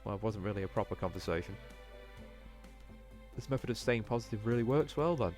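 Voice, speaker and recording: A man's voice: on the low side, lively intonation, brisk, a little mellow, natural voice. Man as young male cat student, anxious, self-doubting, fretful muttering to himself, visual novel, faint music. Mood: wistful, nervous.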